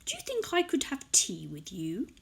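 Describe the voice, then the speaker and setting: Woman as big stripy male tiger, hungry, very polite, deliberate, husky, children's storybook. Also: on the high side, wildly swooping pitch, voice pushed up, heavily acted.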